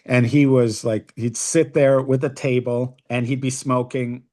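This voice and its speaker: A middle-aged man shares memories on a podcast, with a voice of ordinary pitch. He speaks in a halting way, restarting sentences and reaching for words as he goes. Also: flat delivery, warm tone. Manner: halting, searching for words with many fillers. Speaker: podcast co-host, rabbi. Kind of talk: casual reminiscing conversation.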